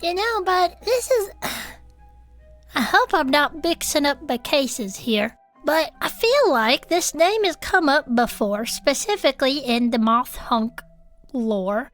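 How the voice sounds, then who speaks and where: A woman's voice: sits high, lively intonation, takes it slowly, faint music behind. A woman playing a folksy, mild-mannered cryptid enthusiast who co-hosts a comedic audio-fiction show with earnest, agreeable banter. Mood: earnest, musing. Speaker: co-host.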